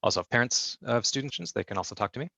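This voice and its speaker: A man working as a sign language interpreter, voicing a deaf guest's answers in the first person in a measured way, with frequent fillers and restarts, heard over a video call. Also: mid-range voice, lively intonation, creaky, pushed and bright, breathy edge, no room sound.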